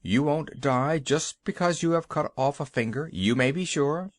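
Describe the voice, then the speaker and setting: A reader voicing a wise old wizard who speaks calmly and consolingly. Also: mid-range voice, normal speed, no echo, natural voice. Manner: consoling, wry.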